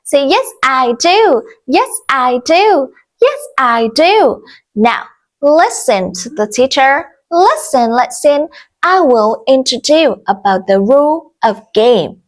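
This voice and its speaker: Slow, sing-song Vietnamese woman teacher. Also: high voice, very animated. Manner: slow, exaggerated, repeats every phrase for young learners. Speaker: English teacher for children. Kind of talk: children's English class.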